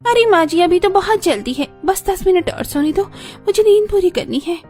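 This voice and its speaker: A sleepy, spoiled young bride in a cartoon who whines and pleads in a shrill, very high voice, as a woman acts her out. Her voice leaps up and down, and soft music plays behind her. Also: expressive, moderate pace. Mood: sleepy whining. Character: lazy modern young daughter-in-law, sleepy and whiny. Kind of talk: cartoon voice acting.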